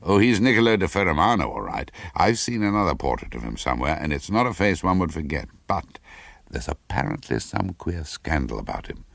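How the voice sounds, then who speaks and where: A man's voice: low voice, very animated, fairly quick, gravelly, a bit bright, dry recording, exaggerated voice. A man reading aloud as a cultured, well-bred English husband, urbane and casually knowing, in a radio story. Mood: musing, confiding. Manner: light, urbane, casual lecturing.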